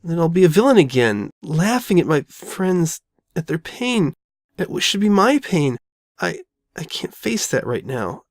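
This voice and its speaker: A woman's voice: lowish voice, sing-song, a little mellow, no echo, studio quality, full character voice. A woman voicing a frightened, guilt-ridden young female pony who stammers and doubts herself in an audio drama. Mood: scared, weary. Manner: stammering, halting, anxious. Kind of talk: all-dialogue fan audio drama.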